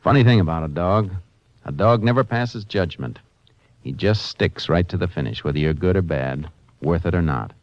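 An American man playing a calm, shrewd Texas Ranger in a 1950s radio drama, speaking in a laconic, matter-of-fact way. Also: low-pitched, normal speed, dry recording. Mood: reflective. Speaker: actor playing lead lawman. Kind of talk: 1950s radio drama acting with first-person narration. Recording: muffled, noisy 1950s radio recording.

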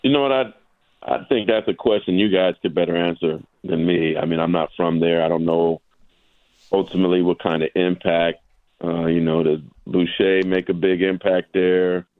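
Low-voiced man, halting basketball talk. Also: fairly even intonation, darkish tone, no echo, poor audio. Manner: halting, repeats words, often tags points with "right?". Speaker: sportswriter guest. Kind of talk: casual basketball discussion.

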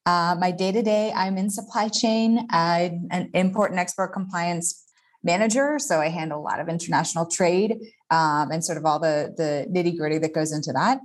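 Cheerful Canadian woman, lowish voice, casual, video call. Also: moderate pace. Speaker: guest, trade compliance manager.

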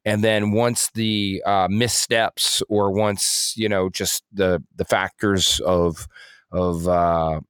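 A man co-hosting a podcast in casual conversation, speaking in a halting, rambling way with frequent self-corrections. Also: low voice, level tone, a bit bright, clear, resonant tone, no echo, studio quality. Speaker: podcast co-host.

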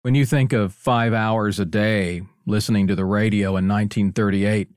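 A man's voice: fairly low pitch, fairly even intonation, moderate pace, darkish tone, smooth, no room sound, studio quality. A middle-aged or older man hosting an interview, chatty and conversational, prompting his guest with questions. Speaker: interview host.

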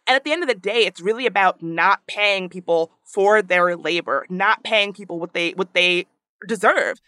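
A woman with a high voice, hosting a podcast in casual, earnest discussion, thinking aloud with frequent restarts. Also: moderate pace, sharp tone, no room sound, studio quality. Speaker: podcast host.

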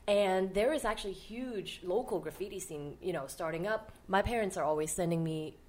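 A woman's voice: fairly low pitch, normal speed. A young adult woman from Hong Kong, a guest artist chatting animatedly on a podcast. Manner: animated storyteller who laughs and acts out quoted people. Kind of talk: casual podcast interview.

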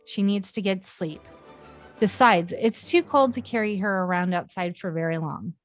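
A woman's voice: mid-range voice, normal speed, faint music behind, close to an everyday voice. A woman voicing a caring, uncertain young mother in a storybook. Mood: instructing.

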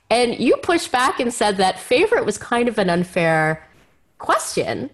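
A woman hosting a conversational interview in an effusive, enthusiastic manner. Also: mid-range voice. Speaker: podcast host and interviewer.

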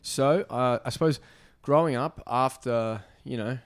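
A man hosting a casual interview, chatty and relaxed with frequent fillers. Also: medium pitch, unhurried, no room sound, clean studio sound. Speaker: podcast host.

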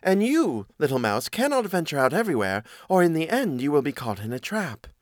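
A sly male cat from a fairy tale, voiced by a man. He talks smoothly and persuasively, cool and sure of himself, with lively rises and falls. The sound is dry. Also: a little high, a little fast, dry recording, voice pushed up. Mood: cautioning, persuasive. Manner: persuasive, cool, self-assured.

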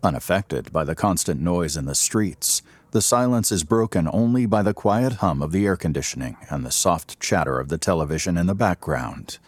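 Deep, husky male story narrator. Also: expressive, normal speed, no room sound, clean studio sound. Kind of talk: scripted dramatic narration.

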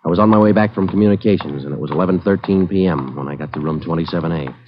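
An actor playing a terse police detective sergeant, narrating and talking in a clipped, matter-of-fact way in a 1940s radio drama. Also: male voice, low-pitched, racing, noisy background, close to an everyday voice. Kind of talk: first-person noir narration and dialogue. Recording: dull 1940s radio broadcast.

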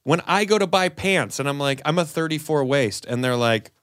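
A man's voice: fairly high pitch, moderate pace, no room sound. A man co-hosting a comedy podcast, acting out the people in his stories as he tells them. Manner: animated storyteller, acts out both sides of conversations. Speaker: podcast co-host. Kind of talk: casual comedic chat.

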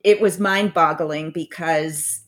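A woman in her forties, a financial advisor, giving thoughtful, measured interview answers with frequent asides. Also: low voice, steady tone. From United States, parents from Bolivia.

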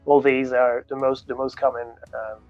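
A man's voice: mid-range voice, little pitch movement, normal speed, highs cut off, soft background music. A man from France with a French accent, a native French speaker, answering interview questions as a coach in a candid, reflective way. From France, grew up in Paris. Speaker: guest, coach.

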